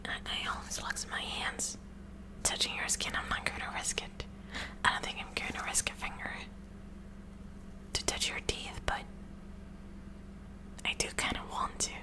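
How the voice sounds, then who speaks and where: A woman voicing a kooky, curious high school science club president in a halting, excitable breathy whisper for an ASMR roleplay. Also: lightly acted, poor audio. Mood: curious, hesitant.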